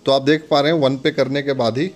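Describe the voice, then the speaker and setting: A man's voice: mid-range voice, steady tone, fast talker, soft background music. An Indian man giving a casual step-by-step product demonstration, instructing viewers in a friendly, direct address.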